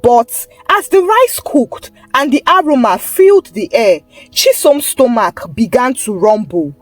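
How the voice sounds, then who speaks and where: A woman's voice: a little high, expressive, unhurried, dry recording, soft background music. A woman storyteller reading a scripted folktale narration.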